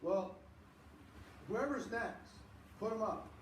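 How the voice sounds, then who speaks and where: High-voiced man teaching a live seminar. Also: roomy sound, far from the mic, a little hiss or hum. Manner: quick, conversational, repeats key points. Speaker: seminar host.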